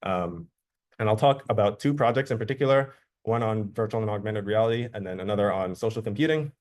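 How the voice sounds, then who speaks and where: Low-voiced young man giving a talk. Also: fairly quick. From Jamaica. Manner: relaxed, conversational explaining. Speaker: guest speaker, researcher. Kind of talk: academic seminar talk. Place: lecture room.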